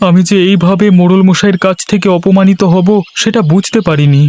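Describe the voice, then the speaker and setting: A man's voice: high voice, fairly quick, a little mellow, natural voice. A man playing a humble, poor village woodworker in a cartoon, earnest and plaintive in manner. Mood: hurt. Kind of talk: Bengali cartoon voice acting. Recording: music behind the voice.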